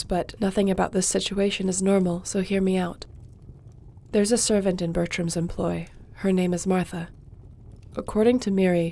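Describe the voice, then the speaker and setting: A woman playing a mellow, calm sorceress. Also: lowish voice, vocal fry, slight background noise. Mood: gentle. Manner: measured, reassuring, reads reports aloud. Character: loyal young sorceress, calm royal advisor. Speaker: audio roleplay performer. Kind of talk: fantasy audio roleplay.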